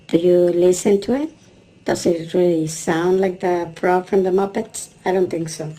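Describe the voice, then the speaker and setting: A man's voice: sits high, level tone, normal speed, warm tone, pitched above the performer's own voice. A man voicing a dismissive female-sounding character through a voice changer.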